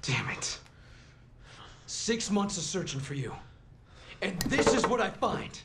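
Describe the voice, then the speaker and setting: An actor playing a determined, loyal young soldier in a video game, with an intense, insistent manner. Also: male voice, sits high, sing-song, slow, background noise, heavily acted. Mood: grim, insistent. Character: determined, loyal young male soldier confronting his captain. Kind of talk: cinematic video game acting.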